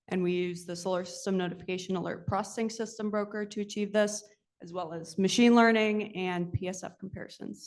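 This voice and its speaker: A young woman in her first year of graduate school, giving a brisk, matter-of-fact conference flash talk. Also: medium pitch. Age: young adult. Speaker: first-year grad student presenter.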